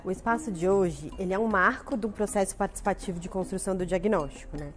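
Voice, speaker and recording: A woman's voice: mid-range voice, fast talker, faint music behind. A Brazilian woman, a team coordinator, giving an explanatory interview statement.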